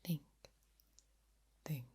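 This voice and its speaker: A woman speaking softly in a breathy ASMR whisper, close to the mic from ear to ear, lingering on and repeating words. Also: drawn-out words. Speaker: ASMR video creator. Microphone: close microphone, speaking ear to ear.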